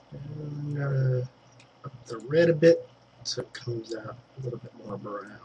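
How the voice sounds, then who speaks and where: Stuffy-nosed guy talking through a paint tutorial, mid pitch, faint room hum behind. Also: a little mellow, rough recording. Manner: chatty, thinks aloud while working, trailing fillers. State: congested, clearing throat. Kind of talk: casual screen-recorded painting tutorial.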